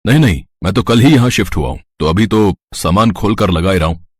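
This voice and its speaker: Man playing friendly young bachelor, respectful, casual, husky low voice, storybook drama. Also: lively intonation, a little fast, no echo, studio quality. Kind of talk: dramatised Hindi moral story.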